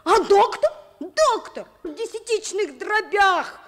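Very high-pitched impulsive schoolboy. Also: female voice, lively intonation, slow, exaggerated voice. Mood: indignant. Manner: emotional, pleading. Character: schoolboy Dimka, impulsive fourth-grade would-be sailor. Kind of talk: Soviet radio play acting. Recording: reverberant vintage studio radio recording.

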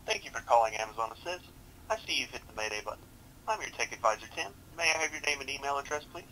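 Young male tech support rep, brisk delivery, mid-pitched, polite and helpful on a headset call. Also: lively intonation, bright, forceful tone, a little hiss or hum, low-quality recording. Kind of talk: customer service walkthrough. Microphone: headset on a video support call.

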